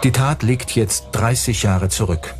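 A male documentary narrator delivers scripted true-crime narration. His voice sits at a medium pitch, with music clearly playing behind him. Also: a little slow.

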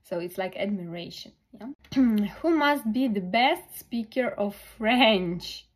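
A woman tutoring test preparation, thinking aloud through questions slowly with many pauses and fillers. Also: medium pitch, expressive.

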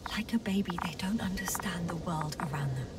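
An actress playing a thoughtful, worried woman scientist exploring space, speaking in a hushed, measured, earnest way in a video game. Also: lowish voice, recorded from a distance, heavy background noise, close to an everyday voice. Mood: sad, worried. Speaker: crew scientist. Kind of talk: dramatic game voice acting.

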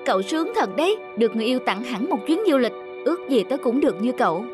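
A woman voicing a sensitive, expressive young woman, an emotional girlfriend in a cartoon. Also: fairly high pitch, racing, over music, close to an everyday voice. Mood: envious. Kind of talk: cartoon dubbing.

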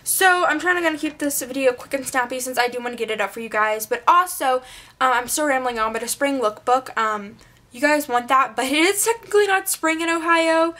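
A woman's voice: sits high, fairly quick, bright, forceful tone. A woman reviewing her favorite beauty products in a chatty, rambling way while losing her voice and congested, recorded on a close camera in a small bedroom. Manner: chatty, rambling, filler-heavy. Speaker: beauty vlogger. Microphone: camera mic at close range.

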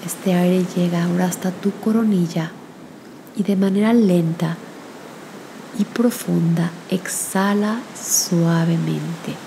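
A woman with a slightly low voice guides a meditation very slowly. Her delivery is gentle and soothing, leaving long pauses between phrases. A clear, steady hiss sits behind her voice. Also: low-quality recording. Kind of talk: guided meditation with spoken prayer.

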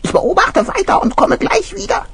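Eine Frau spielt in einem Kinderhörspiel einen kleinen, aufgeregt piepsenden Vogel, der eifrig Wache hält. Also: female voice, extremely high pitch, moderate pace, gravelly, slight background noise, full character voice. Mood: excited, alert. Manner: excited, peppers speech with chirps. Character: small eager cartoon bird, a chirping lookout. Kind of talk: children's audio play acting.

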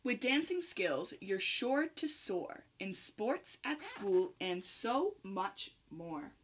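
A young adult woman reading a rhyming picture book aloud to children in an expressive, sing-song manner with clear phrasing. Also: mid-range voice, takes it slowly, a little hiss or hum. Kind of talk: children's read-aloud, rhymed storytelling.

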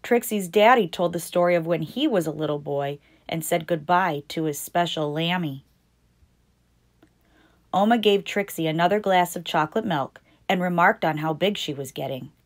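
A woman reading a picture book aloud as a storytime host, deliberate and expressive for young children. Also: on the low side. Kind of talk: scripted picture-book narration read aloud.

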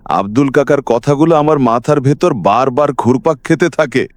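A man narrating a scripted horror story in a dramatic, suspenseful, expressive way. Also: medium pitch, moderate pace, dry recording.

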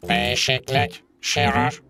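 A man's voice: medium pitch, little pitch movement, unhurried, sharp tone. A man voicing a simple, malfunctioning lawnmower robot in a cartoon dub, repetitive and mechanical. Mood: obliviously chirpy. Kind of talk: animated cartoon dub acting.

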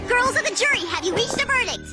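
A woman voicing a bossy, sassy schoolgirl ringleader in an animated cartoon, mocking, with valley-girl slang. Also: very high voice, fast. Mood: haughty, commanding. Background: cartoon score music.

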